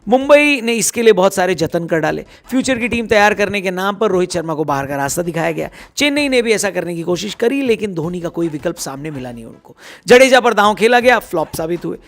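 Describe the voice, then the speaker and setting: A hoarse man giving casual cricket news commentary, chatty and teasing as he talks straight to his viewers. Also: high-pitched, expressive, a little fast, a bit bright.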